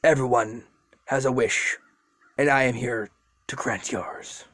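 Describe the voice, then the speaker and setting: An amateur narrator voicing an ancient male serpent spirit, speaking slowly, low and grave, in a spoken fan story. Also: fairly even intonation, a bit bright, no echo. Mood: solemn.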